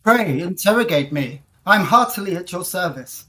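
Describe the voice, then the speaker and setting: A woman playing a confident, educated gentleman traveller, telling the tale formally and deliberately in a period audio drama. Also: lowish voice, animated. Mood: courteous. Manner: formal, articulate, deliberate storytelling.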